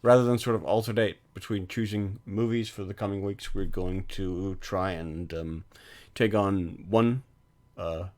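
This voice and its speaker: A man's voice: deep voice, steady tone, measured pace, darkish tone. A man co-hosting a film podcast, talking casually and hesitantly, with frequent ums and restarts.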